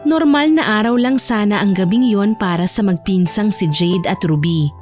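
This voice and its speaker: A woman's voice: medium pitch, moderate pace, a little mellow, soft background music. A woman narrator delivering dramatic scripted storytelling for an audio drama.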